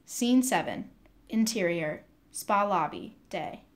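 Female narrator for an audio drama script, mid-pitched, reading stage directions. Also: expressive, takes it slowly.

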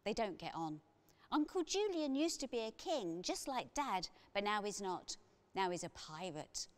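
A woman voicing a sensible, firm young girl in a children's storybook, brisk and exasperated in manner. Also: mid-range voice, sing-song, voice pushed up. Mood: explaining.

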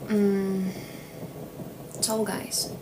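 Young Ukrainian-native woman, slow easy livestream chatter into a phone, clear background noise in the room. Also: mid-range voice. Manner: slow, relaxed, playful, lots of "like". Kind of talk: casual livestream chat reading comments. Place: small indoor room. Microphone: phone at arm's length.

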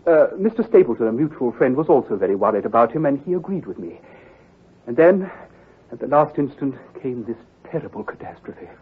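Grave country doctor, hesitant and precise, very husky, lively pitch, old-time radio drama. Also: male voice, brisk, phone-quality sound. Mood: troubled, recounting. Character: earnest, troubled country doctor, a man of science.

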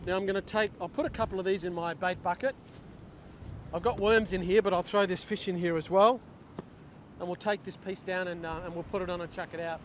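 A man's voice: sits high, fairly quick. A middle-aged man explaining step by step how he prepares bait and fishes from a beach, on a lapel mic outdoors. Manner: steady step-by-step explaining while working. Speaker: fishing instructor. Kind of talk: hands-on fishing tutorial. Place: open beach by the surf. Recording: wind and surf noise on lapel mic.

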